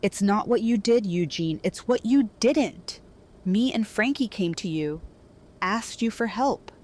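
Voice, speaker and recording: A woman's voice: medium pitch, expressive, measured pace, a little mellow. An actress playing a jaded, cutting woman who bargains hard, speaking in a blunt, confrontational way in an audio drama. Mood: cutting, bitter.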